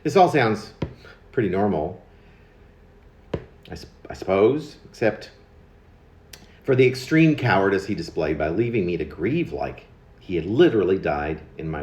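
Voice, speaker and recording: A man in his fifties reading his own confessional poem aloud, in a halting way with pauses mid-phrase and stressed words. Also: low voice, big pitch swings, a little mellow. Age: 50s. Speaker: poet. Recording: dull lo-fi recording in echoey room.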